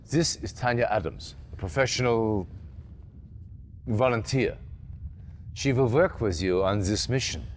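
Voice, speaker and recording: Grave older male general played by an actor, formal and authoritative, game briefing, mid-pitched, slightly noisy old audio. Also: lightly acted. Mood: urgent, commanding. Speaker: military commander. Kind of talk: dramatic video game cutscene acting.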